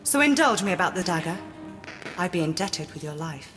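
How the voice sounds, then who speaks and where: A woman's voice: on the low side, sing-song, brisk, a bit bright, long reverb tail, faint music behind. An actress playing a cool, wry British woman adventurer in a video game, speaking with dry poise. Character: cool, wry, self-possessed female adventurer. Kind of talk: video game cutscene acting.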